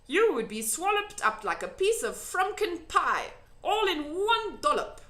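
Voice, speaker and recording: A woman voicing a friendly old male giant in a children's storybook, excitable and emphatic, with quaintly muddled grammar. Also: very high voice, unhurried, voice pushed up, full character voice. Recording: echoey room.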